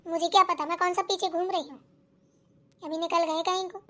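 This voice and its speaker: Casting: very high, girlish village housewife for a family cartoon , voiced by a woman, gossipy and full of complaints. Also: fairly even intonation, fairly quick, pushed and bright. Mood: bickering. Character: chatty village daughters-in-law and nagging mother-in-law, grumbling.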